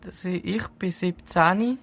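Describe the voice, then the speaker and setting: A woman's voice: on the low side, takes it slowly, vocal fry, full character voice. A woman with a Swiss German accent voicing a nervous, cowed seventeen-year-old boy under arrest in an audio drama, timid and defensive. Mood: subdued. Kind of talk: hobby detective audio drama acting.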